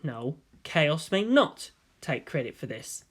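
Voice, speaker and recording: A man's voice: on the high side, sing-song, takes it slowly, heavily acted. A guarded teenage boy commander as a man plays him in a story reading. He broods, keeps his words terse and has a dry, wry manner.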